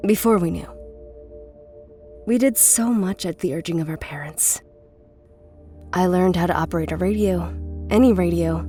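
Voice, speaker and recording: A woman playing a guarded, intense runaway from a cult family in a horror audio drama, speaking with deliberate care. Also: on the low side, dark tone, close to an everyday voice. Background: music.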